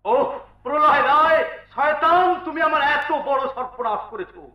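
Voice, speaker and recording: A man's voice: extremely high pitch, normal speed, lo-fi sound. A man playing an impassioned, righteous betrayed husband in folk theatre, declaiming theatrically. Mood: outraged. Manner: declamatory, thundering accusations. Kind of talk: Bengali jatra folk-theatre acting. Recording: echoey stage recording, distant mic, faint music.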